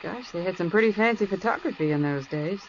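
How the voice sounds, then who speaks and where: An actress plays an eager young woman sidekick in a 1940s radio drama, her voice bouncing with lively pitch. The old broadcast sounds dull, with a faint hiss. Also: fast talker, natural voice. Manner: eager, exclaiming.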